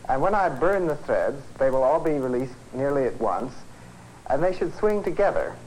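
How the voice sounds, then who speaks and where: A man, a physics professor, presenting an educational film lecture in a measured, conversational, explanatory way. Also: mid-range voice, lively intonation, a little mellow. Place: film studio. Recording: noisy 1950s educational film soundtrack.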